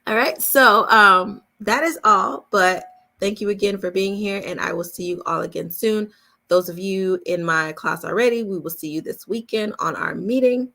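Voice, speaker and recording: A woman speaking informally and directly to a group as a coach hosting an online chat, with a creaky voice. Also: mid-range voice, moderate pace, sharp tone. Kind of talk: casual live chat with attendees.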